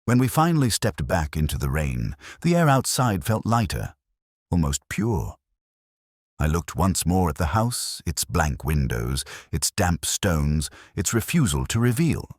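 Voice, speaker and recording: A man with an exceptionally deep voice reads a brooding detective tale as its narrator. His delivery is measured and literary, with lively rises and falls in pitch, and the recording is close and dry. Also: slightly rough, no echo. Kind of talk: scripted first-person detective story narration.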